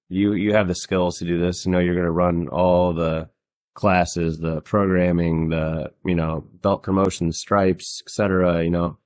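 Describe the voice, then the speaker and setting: A man's voice: deep voice, darkish tone, dry recording, clean studio sound. A man hosting a podcast in casual conversation, talking in a rambling way with many fillers and restarts. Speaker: podcast host. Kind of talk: casual chat.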